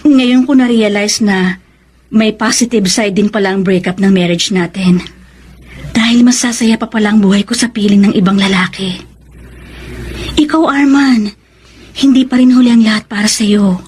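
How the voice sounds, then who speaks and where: An actress with a Filipino accent playing an expressive, warm, middle-aged divorced woman newly in love in a radio drama. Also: mid-range voice, breathy edge, close to an everyday voice.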